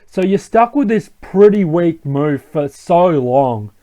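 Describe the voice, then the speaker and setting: A man's voice: on the high side, lively intonation, takes it slowly, warm tone. Young man rambling over gameplay. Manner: thinking aloud, rambling with many ums. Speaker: let's play host. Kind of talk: casual gameplay commentary.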